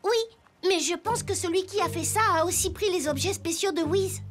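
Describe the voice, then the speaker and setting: Very high-pitched little boy detective. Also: normal speed. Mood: earnest, inquisitive. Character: earnest, kind little wooden toy boy detective. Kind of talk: children's cartoon dubbing.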